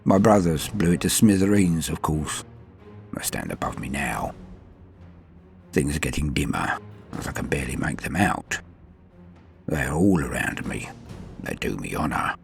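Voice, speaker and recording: A man voicing a centuries-old space-marine sergeant, stoic, proud and dying, in a solemn, halting audio drama monologue with a gravelly voice. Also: big pitch swings, soft background music, full character voice. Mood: grim, regretful. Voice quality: very deep gravelly voice. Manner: solemn, halting, dramatic delivery with swings between murmur and force. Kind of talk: scripted first-person audio drama monologue.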